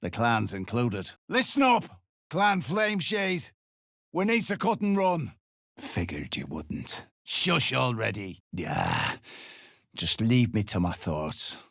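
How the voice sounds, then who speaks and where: An actor playing a hard-bitten, threatening duergar dwarf in a video game, gravelly and curt, with coarse slang. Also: male voice, medium pitch, wildly swooping pitch, takes it slowly, fairly bright tone, no room sound, clean studio sound, full character voice. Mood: barking, urgent. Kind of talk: video game character lines.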